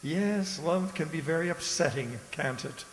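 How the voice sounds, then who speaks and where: A man acting a smug, sly, old-fashioned gentleman and false friend in a radio drama, genteel and insinuating. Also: a little high, animated, unhurried. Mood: smoothly sympathetic. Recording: echoey hall, faint noise behind.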